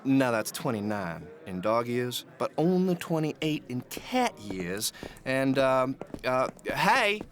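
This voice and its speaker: A man's voice: mid-range voice, big pitch swings, takes it slowly, a bit bright, a little hiss or hum. An actor playing a folksy, proud and eccentric cigar-smoking man who shows cats, drawling in a comedy audio drama.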